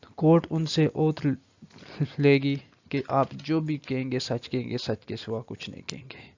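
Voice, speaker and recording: A man's voice: medium pitch, a little slow, vocal fry, darkish tone. A man with a Pakistani accent gives an online law lecture, reading out text and explaining it as he switches between Urdu and English. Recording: dull lo-fi microphone sound.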